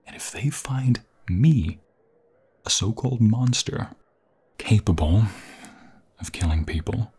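A man playing an anxious, tender young vampire fiancé in an intimate ASMR roleplay, halting and emotional, often dropping into a breathy whisper. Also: lowish voice, animated, a little mellow, heavily acted. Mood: urgent, pleading.

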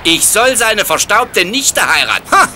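Sitcom dub: pompous, whining commandant, middle-aged, high and very animated, theatrically exasperated. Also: male voice, unhurried, a little hiss or hum, exaggerated voice. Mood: complaining, disgusted. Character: pompous, exasperated, blustering German camp commandant. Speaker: dubbing actor in a TV sitcom. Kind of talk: comic sitcom dub, slangy ranting.

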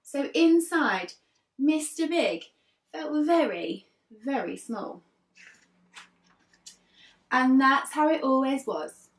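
A woman in her thirties reading a children's story aloud like an infant teacher, expressively, with dramatic pauses between phrases. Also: high-pitched, lively intonation. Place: living room sofa, indoors. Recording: roomy, distant mic, faint music behind.

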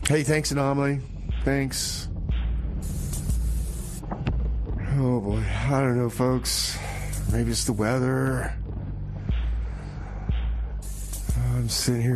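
A man playing a glum, self-pitying, hapless radio DJ who talks in a halting, dejected, repetitive way on a comedy music show. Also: medium pitch, lightly acted. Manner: halting, dejected, sighing, repeating himself. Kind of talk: comedy radio theater DJ patter.

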